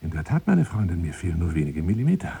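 An actor playing a lonely, boastful gentleman courting by telephone in formal, flowery German radio drama style, moving between murmurs and outbursts. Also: deep voice, big pitch swings, fast talker, creaky, breathy edge, exaggerated voice. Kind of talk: radio drama monologue as one side of a phone call.

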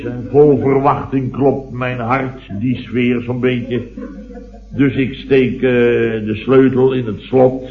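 An actor playing a flustered, long-winded married man rambling emphatically in a 1970s radio comedy sketch. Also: medium pitch. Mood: self-important reminiscing. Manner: rambling, emphatic, peppered with tag questions. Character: married man, flustered long-winded comic storyteller complaining about wife. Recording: dull old radio recording, roomy, audience laughter.

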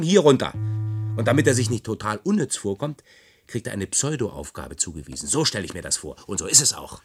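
A defiant young cynic in a radio play. His voice is mid-pitched and his delivery sardonic. He needles and mocks, sounding thoroughly disillusioned with authority. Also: breathy edge. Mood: bitter, sardonic. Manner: sardonic, taunting. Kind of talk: German radio science fiction drama.

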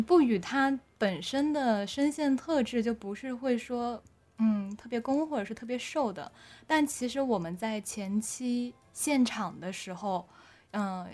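Casting: female drama director with a fairly high voice, chatting off the cuff, halting, with repeated words. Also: darkish tone. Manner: hesitant, often repeating words mid-phrase. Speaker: audio drama director being interviewed. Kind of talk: unscripted casual interview chat.

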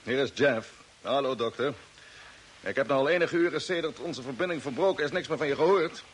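An actor playing a determined expedition commander in an old radio drama, with a commanding, earnest manner. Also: male voice, medium pitch, a little fast, close to an everyday voice. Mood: businesslike, searching. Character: determined male space expedition commander on a Mars mission. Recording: old radio recording with faint hiss.

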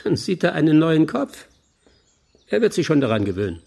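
An actor playing a detached, confident surgeon who explains things clinically in a radio crime drama. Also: male voice, a little high, big pitch swings, normal speed, exaggerated voice. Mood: dismissive. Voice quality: mellow, husky, creaky.

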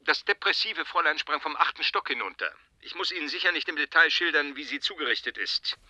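A cynical colleague in a radio play. The voice is piercingly bright and fairly high for a man, with animated swings. He is bitter, biting and loves to needle. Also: quick pace, exaggerated voice. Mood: goading, scornful. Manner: sarcastic, biting. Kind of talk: German radio drama acting.

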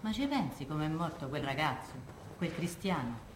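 An actress playing a haunted working-class widow with a Roman accent, colloquial and emphatic in a theatrical testimony. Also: female voice, deep voice, big pitch swings, moderate pace. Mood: haunted, pitying. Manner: colloquial, emphatic, rhetorical questions. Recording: echoey room with background noise.

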